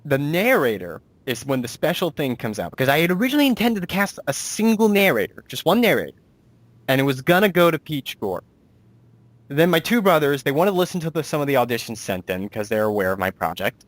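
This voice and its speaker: A man's voice: fairly high pitch, sing-song, fairly quick, no echo. A man hosting a casual interview as a director, explaining things in a rambling way and restating himself. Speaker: interviewer and director of an amateur audio drama.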